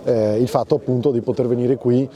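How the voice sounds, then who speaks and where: Mellow, dark-toned man, hesitant interviewee. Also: medium pitch, steady tone, brisk. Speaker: local official. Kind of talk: spoken news interview, unscripted.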